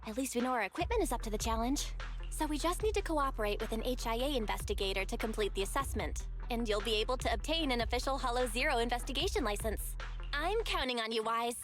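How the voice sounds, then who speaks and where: Young, higher-voiced supportive female partner, acted. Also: animated, fast, faint music behind, close to an everyday voice. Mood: encouraging, businesslike. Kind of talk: video game voice acting.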